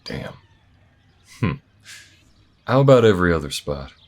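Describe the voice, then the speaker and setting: A man playing a laconic, tactical alien bounty hunter in an audio roleplay, with a low gruff voice and a measured, folksy way of thinking aloud. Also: big pitch swings, full character voice. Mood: low-key, practical.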